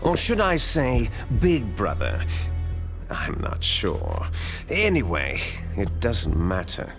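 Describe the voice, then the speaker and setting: Vengeful game villain boss, menacing, theatrical, drawn-out delivery, widely swinging pitch, loud music behind. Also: male voice, wildly swooping pitch, heavily acted. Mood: mocking. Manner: grandiose, menacing, dramatic swells. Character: theatrical, vengeful male villain leader, grandiose. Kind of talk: dramatic video game voice acting.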